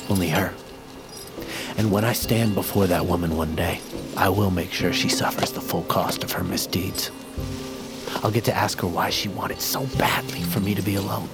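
An actor playing a brooding fantasy outcast fighter, speaking in poetic, old-fashioned phrases in a dramatic audio fiction style. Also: male voice, sits low, steady tone, normal speed, music in the background. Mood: bitter, wounded. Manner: poetic, archaic, sardonic turns of phrase. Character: brooding male fighter of the Nameless, abandoned as a child.

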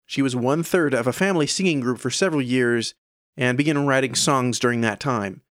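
A man's voice: medium pitch, brisk, a bit bright, dry recording, studio quality. A man hosting an audio newsletter, reading scripted music news in a presenter's style. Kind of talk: scripted narration. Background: background music.

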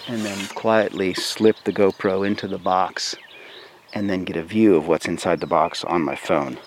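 Fairly low-voiced man explaining field research. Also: fairly even intonation, moderate pace, a little hiss or hum. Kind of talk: explanatory interview talk.

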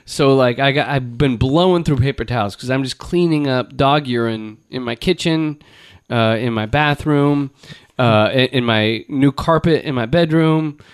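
A man's voice: medium pitch, normal speed, few pauses, smooth, no room sound, studio quality. A man co-hosting a podcast, rambling in casual, crude, joking chat. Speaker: podcast co-host.

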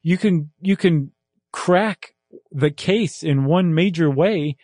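A man's voice: on the high side, slow pace, a little mellow, no room sound. Musing man in a dry, close podcast sound. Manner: musing, rambling, lots of fillers and restarts. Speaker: podcast co-host. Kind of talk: casual chat, wrapping up an episode.

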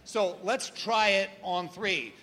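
Loud-voiced man in a reverberant hall. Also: high-pitched, slow. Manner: loud, carrying voice. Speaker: radio show guest and political commentator. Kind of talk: conversational interview before a live audience. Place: large room with a live audience. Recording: reverberant live stage hall.

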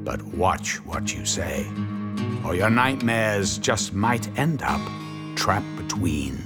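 An actor playing a knowing, sardonic horror storyteller, giving theatrical narration for a radio anthology. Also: male voice, fairly low pitch, expressive, slow. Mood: ominous, knowing. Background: guitar music.

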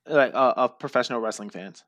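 A man in casual podcast chat, blunt and animated as he rants. His voice is high and sits close on a dry, roomless mic. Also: fairly quick, dry recording. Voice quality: says he has a high voice. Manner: blunt, profane, animated ranting. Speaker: podcast co-host.

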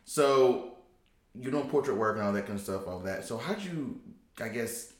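A man's voice: mid-range voice, expressive, moderate pace. A man of thirty hosting a casual interview, informal and slangy, with an enthusiastic way of reacting. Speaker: show host, interviewer. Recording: roomy, echoey sound.

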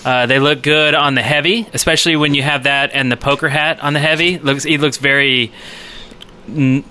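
Bright, clear-voiced man chatting about games. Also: a little high, steady tone, normal speed. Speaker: podcast co-host. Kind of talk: casual gaming chat.

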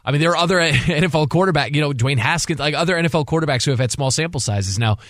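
A man's voice: a little high, fast talker, a bit bright, dry recording, clean studio sound. A man co-hosting a sports radio show, reasoning through his analysis off the cuff and repeating phrases for emphasis. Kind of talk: unscripted sports talk analysis. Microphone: close studio broadcast microphone.

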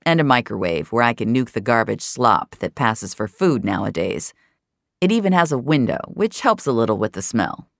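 A jaded, sardonic narrator of a sci-fi mystery podcast. Her voice is very low and moves around expressively in a close, dry recording. She tells it in a wry, conversational first person. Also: dry recording.

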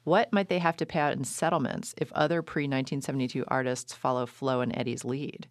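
A woman hosting a public radio interview show, reading measured scripted links between her questions. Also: rumbling low, fairly even intonation, no echo, studio quality.

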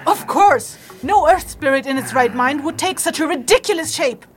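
Casting: actress as short-tempered furred female wanderer, fairly high voice, dry and commanding, fantasy audio drama. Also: animated, moderate pace, a little hiss or hum. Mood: angry, scolding.

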